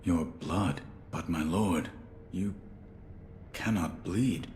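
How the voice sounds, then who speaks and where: Stoic, very deep-voiced shinobi. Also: male voice, drawn-out words, darkish tone, slight background noise. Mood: stoic, grave. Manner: terse, grave, deferential. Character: stoic, loyal male shinobi warrior. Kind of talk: restrained video game acting.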